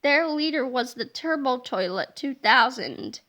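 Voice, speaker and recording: A woman reading a children's book aloud in an animated, playful narrating voice. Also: sits high, measured pace. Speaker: storybook reader.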